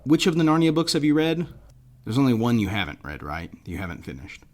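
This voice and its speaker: A man's voice: mid-range voice, big pitch swings, brisk. A man hosting a podcast, talking in an informal, conversational way. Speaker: podcast host.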